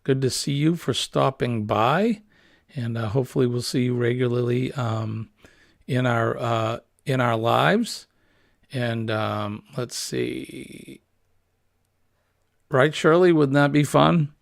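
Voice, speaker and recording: A man hosting a casual morning livestream, reading viewer comments in a relaxed, rambling way with lots of ums, his voice husky and a little smoky, recorded on a built-in computer mic. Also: mid-range voice, slow pace, dry recording, studio quality. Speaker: livestream host. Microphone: built-in computer webcam mic.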